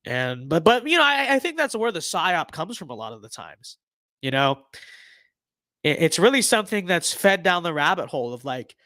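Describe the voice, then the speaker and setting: Young male streamer, hoarse voice, slightly high, rambling casual talk, dry close mic. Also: sing-song, moderate pace, pushed and bright, no room sound. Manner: rambling, filler-heavy, many restarts. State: losing his voice. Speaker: livestream host. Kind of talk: casual livestream commentary.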